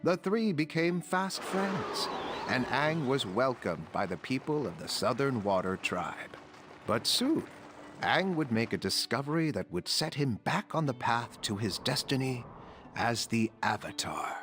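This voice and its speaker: A man playing a patient, wise older storyteller narrating a video game tale in a measured, solemn way with deliberate emphasis. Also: medium pitch, very animated, fairly bright tone, faint music behind. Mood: solemn storytelling.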